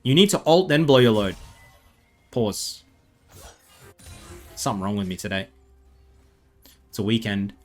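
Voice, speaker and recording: A man's voice: on the low side, lively intonation, measured pace, pauses often, faint music behind. An Australian man giving rambling, self-mocking commentary over gameplay, full of chatty asides. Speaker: gaming video creator.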